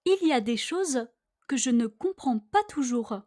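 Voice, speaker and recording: A woman reading a scripted language-lesson dialogue with careful, deliberate phrasing, for listeners to repeat. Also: sits high, animated, warm tone. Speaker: language teacher.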